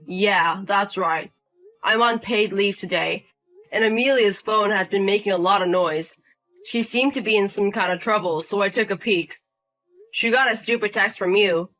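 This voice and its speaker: A woman's voice: mid-range voice, moderate pace, fairly bright tone, soft background music, lightly acted, rough recording. Woman voicing an angry protective husband. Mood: stern. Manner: blunt, confrontational. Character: protective younger husband, angry at his brother.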